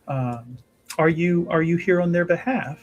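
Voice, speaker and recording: A man voicing a devout, courteous chantry sister in tabletop roleplay, speaking in a formal, measured way. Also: on the high side, dull audio, soft background music, lightly acted. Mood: gracious.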